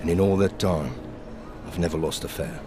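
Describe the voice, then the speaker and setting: An actor playing a blunt, cynical veteran pilot in a video game, sardonic, cocky and colloquial. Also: male voice, low voice, normal speed, music in the background, close to an everyday voice. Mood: cocky, needling. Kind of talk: video game cutscene acting.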